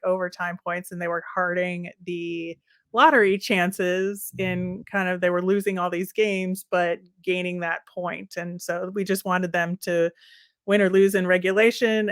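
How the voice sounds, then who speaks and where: A woman's voice: fairly low pitch, fairly bright tone, no room sound, clean studio sound. A woman co-hosting a sports podcast, talking casually and conversationally, often hedging with little asides.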